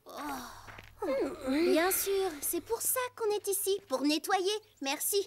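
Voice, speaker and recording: A woman's voice: extremely high pitch, takes it slowly, a little hiss or hum. A woman voicing a spirited young girl from a riding ranch in a children's cartoon. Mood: indignant. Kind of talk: animated children's series dub.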